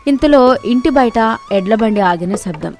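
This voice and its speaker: High-voiced woman storyteller. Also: expressive, unhurried. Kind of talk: scripted narration of moral tales.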